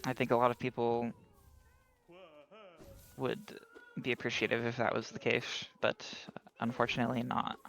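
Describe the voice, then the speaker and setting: A man's voice: mid-range voice, monotone, moderate pace, gravelly, pauses often, a bit bright, soft background music. A man doing live commentary on a speedrun race, casual and analytical as he explains strategy.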